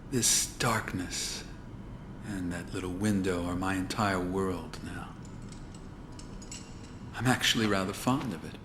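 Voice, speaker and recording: Game role: reflective imprisoned airman, deep-set low register, unhurried, resigned, slight ambient noise. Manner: slow, contemplative. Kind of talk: documentary-style interview in a game cutscene.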